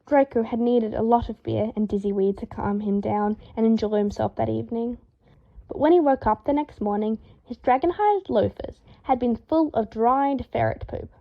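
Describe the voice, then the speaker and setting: A woman with a fairly high voice narrates a story. Her reading is expressive and scripted, in audiobook style. The recording sounds slightly dull.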